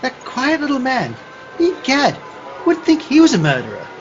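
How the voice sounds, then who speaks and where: A very high-voiced, pompous theatrical gentleman. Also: wildly swooping pitch, normal speed, muffled recording, soft background music, heavily acted. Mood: pompous, astonished. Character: pompous, theatrical old-fashioned gentleman sleuth's sidekick, easily astonished. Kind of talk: comic radio play acting.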